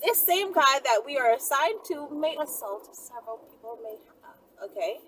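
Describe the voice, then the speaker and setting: A woman's voice: high voice, expressive, moderate pace, a bit bright. A woman host talking casually to her viewers in an emphatic, sassy way, often checking in as she goes. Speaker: channel host answering viewer questions. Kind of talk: casual, animated monologue to viewers. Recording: noisy, distant-sounding mic.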